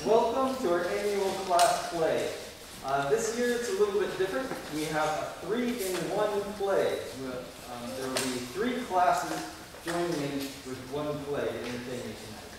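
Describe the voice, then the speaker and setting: A man with a fairly high voice gives a live welcome speech in a halting, informal way. He sounds far from the microphone in a very echoey hall. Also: expressive, background noise. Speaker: teacher introducing a school play. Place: large echoing indoor performance space.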